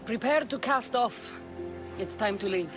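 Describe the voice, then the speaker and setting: A woman's voice: fairly high pitch, unhurried, music in the background, close to an everyday voice. A woman playing a tough, self-assured young mercenary in a video game, terse and matter-of-fact. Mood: commanding. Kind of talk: low-key video game dialogue.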